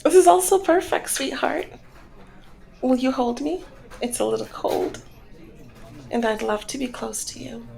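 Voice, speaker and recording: A woman's voice: high voice, animated, a little slow, creaky, long pauses, a little mellow, exaggerated voice. A woman playing a flirty, commanding female mob boss in an ASMR roleplay, talking in a teasing, coy and controlled way. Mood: gushing, lovey-dovey. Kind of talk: ASMR roleplay, scripted one-sided dialogue.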